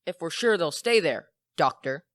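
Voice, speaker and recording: A woman's voice: low voice, animated, moderate pace, no room sound, clean studio sound. A teenage performer, a young woman, playing an eager, polite male lab assistant in an amateur radio play. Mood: earnest.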